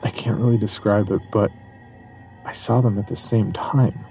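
An actor playing a haunted man who gravely recounts his terror and slide into madness in a horror audio drama. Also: on the low side, fairly even intonation, normal speed, a little mellow, faint music behind, lightly acted. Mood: haunted dread. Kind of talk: first-person horror storytelling.

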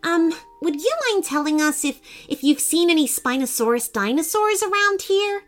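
A woman voicing an eager, confident young boy adventurer in a children's story, excitable and matter-of-fact. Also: very high-pitched, moderate pace, faint music behind, voice pushed up. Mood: curious.

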